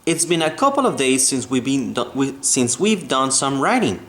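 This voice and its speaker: A man voicing a kindly female schoolteacher in a children's storybook, deliberate and instructive. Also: mid-range voice, big pitch swings, a bit bright. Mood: encouraging. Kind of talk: children's storybook read-aloud.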